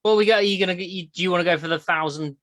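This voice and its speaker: A woman's voice: on the low side, rapid-fire, no room sound, clean studio sound. A young woman, hosting a crude casual chat podcast, blunt and giggly as she rambles. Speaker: podcast host.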